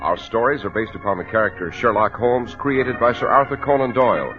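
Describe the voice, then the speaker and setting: A man's voice: on the low side, a little fast, loud music behind the voice. A man announcing a scripted programme in polished 1940s radio style, on a muffled old recording.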